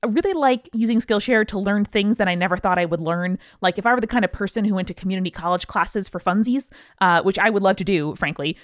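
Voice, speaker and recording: Female podcast co-host, casual slangy banter and ad reads, animated delivery, very little room sound. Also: medium pitch, fast, few pauses, dry recording, clean studio sound. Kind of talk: casual chatty ad read and banter.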